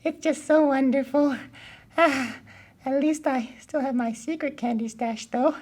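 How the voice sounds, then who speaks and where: High-pitched, whiny, melodramatic puppet boy played by a woman. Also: fairly even intonation, measured pace, a little mellow. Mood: strained, faltering. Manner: stammering, exaggerated, dramatic. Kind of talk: children's puppet show acting.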